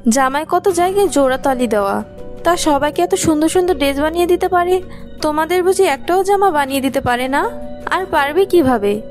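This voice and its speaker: A woman's voice: high voice, expressive, brisk, darkish tone, soft background music, voice pushed up. A woman voicing a mean, snobbish rich schoolgirl in a cartoon, mocking and haughty. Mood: mocking, scornful. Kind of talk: cartoon voice acting.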